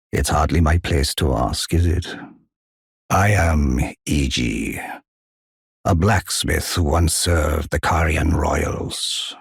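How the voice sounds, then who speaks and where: A man playing a huge, courteous old giant blacksmith in a fantasy video game, with a deep, husky, weathered voice and measured, old-fashioned phrasing. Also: no room sound, studio quality, exaggerated voice. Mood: gently welcoming. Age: older.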